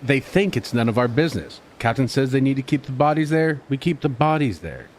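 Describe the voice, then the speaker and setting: A man's voice: medium pitch, expressive, brisk, slight background noise, close to an everyday voice. A man playing a stoic, dismissive police officer who just follows orders, in a naturalistic audio drama. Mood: firm, dismissive.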